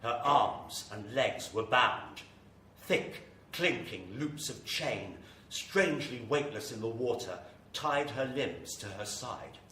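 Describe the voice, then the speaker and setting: A man's voice: medium pitch, expressive, slow. A man playing a grave, theatrical narrator of a horror radio drama, telling it with suspense. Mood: suspenseful, ominous. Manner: theatrical, suspenseful, building to intensity. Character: grave, ominous male horror story narrator. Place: live performance venue with audience. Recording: echoey live hall, distant mic, faint noise.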